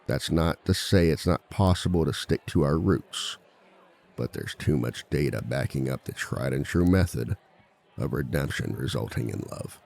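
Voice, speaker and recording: A man playing a reformed villain who lectures at a seminar on romance in a measured, articulate, formal and dryly self-assured way. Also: very deep voice, vocal fry, a little mellow, breathy edge, full character voice. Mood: measured, matter-of-fact. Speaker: seminar speaker and relationship expert. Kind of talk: scripted comedic lecture roleplay.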